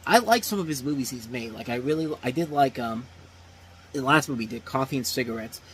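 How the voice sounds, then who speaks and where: A man's voice: mid-range voice, expressive, brisk, a little hiss or hum. A man in his thirties casually reviewing movies as a host, talking off the cuff with frequent restarts and repeated phrases. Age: 30s. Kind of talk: casual unscripted review talk.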